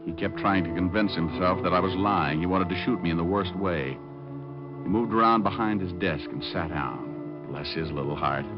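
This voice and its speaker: An American actor playing a tough, wry, wisecracking private detective in an old-time radio drama. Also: male voice, sits low, moderate pace, lightly acted. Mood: wry narrating. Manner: wry, hard-boiled, wisecracking. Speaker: lead actor and first-person narrator. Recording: muffled vintage radio, music behind.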